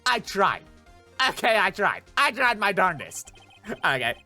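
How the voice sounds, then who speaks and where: A man's voice: high voice, wildly swooping pitch, a little slow, bright, forceful tone. A man hosting a game playthrough with casual commentary, music playing behind him. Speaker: gaming video host, voice actor.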